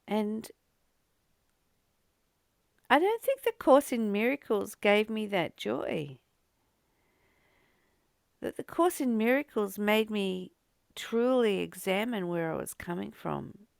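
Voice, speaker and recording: A woman speaks slowly, with a noticeable creak in her voice. She reads and reflects on spiritual ideas in a contemplative, informal way, leaving pauses and circling back over phrases. The recording is close and dry. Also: mid-range voice, expressive, no room sound. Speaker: host of a spiritual reading and study series. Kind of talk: reflective spiritual reading with informal personal commentary.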